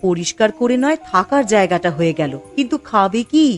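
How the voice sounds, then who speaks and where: A medium-pitched female voice acted out as a practical, supportive cartoon wife. She is gentle and questioning, with faint music behind her. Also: lively intonation, brisk, close to an everyday voice. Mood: worried. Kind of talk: cartoon dialogue acting.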